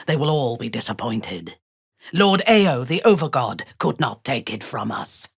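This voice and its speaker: A woman playing a ruthless, proud female dark elf warrior in a video game, speaking in a haughty, deliberate, menacing way. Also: extremely low pitch, sing-song, vocal fry, breathy edge, no echo, clean studio sound, heavily acted. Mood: grave, measured. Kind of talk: video game character dialogue.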